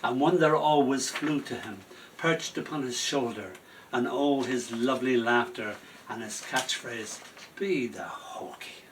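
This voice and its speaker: A man performing spoken word poetry, telling stories between poems in a slow, lyrical delivery that drops to soft whispers. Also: medium pitch, slight background noise. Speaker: poet performing his poems. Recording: voice from a distance off the mic.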